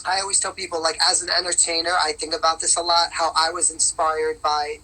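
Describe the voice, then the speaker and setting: A woman singer with a raspy voice from resting it, talking in a casual, upbeat way with lots of fillers as an interview guest. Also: low-pitched, level tone, fairly quick, bright, forceful tone, low-quality recording. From New York. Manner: upbeat, rambling with many fillers. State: strained voice on vocal rest. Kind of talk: casual interview on a live call. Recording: bad connection on a live stream.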